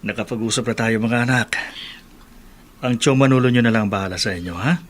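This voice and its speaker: An actor playing a gentle, responsible father who speaks tenderly and instructively in a radio soap drama. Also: fairly low pitch, fairly quick, lightly acted. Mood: tender, earnest. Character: gentle, responsible father counselling his children.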